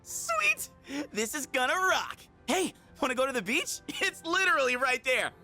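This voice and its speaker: A man's voice: very high-pitched, very animated, normal speed, over music, full character voice. A man voicing a boisterous, goofy teenage boy with slangy speech in a video game. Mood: thrilled. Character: goofy, excitable teenage boy, loud class clown. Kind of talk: animated video game dub.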